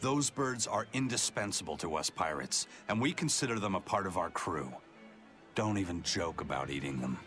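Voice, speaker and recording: A man playing a stern, proud pirate in an anime-style video game, gruff, measured and serious. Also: deep voice, lively intonation, fairly bright tone, soft background music. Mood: firm, serious. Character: stern, steady, proud male pirate first mate.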